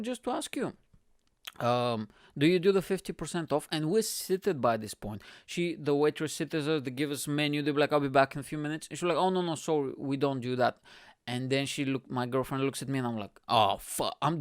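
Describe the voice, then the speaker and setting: A man in his early thirties from Bulgaria, hosting his podcast with a lively, swearing, casual storytelling manner, recorded close on a stand mic in a small room at home. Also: medium pitch, dry recording. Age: 30s. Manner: animated, swearing, laughing, acts out quotes. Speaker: podcast host. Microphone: studio mic on stand close to mouth.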